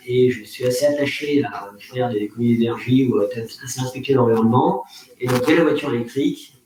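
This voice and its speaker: A man's voice: mid-range voice, a little mellow. Guy moderating a live panel, informal and rambly, far from the mic, super echoey room. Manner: chatty, informal, digressive. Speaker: host, moderator. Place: office.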